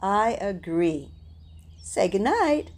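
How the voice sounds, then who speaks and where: An actress playing Bee, a vengeful woman who stings rude people, in a radio drama with a slow, measured delivery. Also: mid-range voice, big pitch swings, very noisy. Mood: cold.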